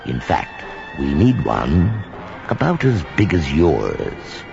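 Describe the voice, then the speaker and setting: An actor playing a haunted, melodramatic man who tells a ghoulish horror tale with theatrical pauses and repetitions. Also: very deep voice, wildly swooping pitch, vocal fry, exaggerated voice. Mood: sinister. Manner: theatrical, halting repetitions for suspense. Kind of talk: dramatic horror storytelling. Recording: dull recording under loud music.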